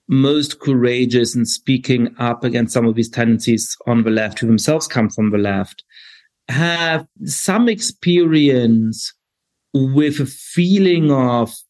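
A male podcast host speaks in a measured, thoughtful way. He poses long, carefully framed questions to his guests. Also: mid-range voice, a little mellow. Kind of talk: podcast interview.

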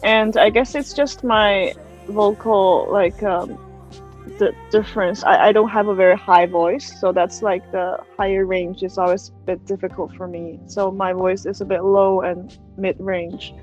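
Woman singer, Chinese native, mid-pitched, hesitant, faint music bed. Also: fairly even intonation, a little slow, darkish tone, lo-fi sound. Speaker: singer, interview guest. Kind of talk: casual interview talk.

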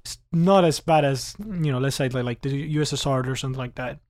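A man's voice: medium pitch, fairly quick. A man in his twenties who grew up in Cuba, talking casually and animatedly, often laughing and restarting his sentences. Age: 20s. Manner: animated, laughs often, repeats words when starting sentences. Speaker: podcast guest. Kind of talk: casual conversation.

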